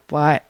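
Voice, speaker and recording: A woman hosting a casual chat show in an informal, animated, laughter-prone manner. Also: no echo. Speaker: podcast host.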